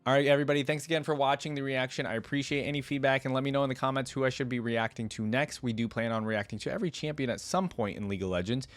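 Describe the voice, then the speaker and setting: A man's voice: mid-range voice, rapid-fire, hardly any pauses, no echo. A man hosting a reaction video, talking casually and unscripted to viewers in a rambling, musing way that often poses questions. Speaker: reaction channel host.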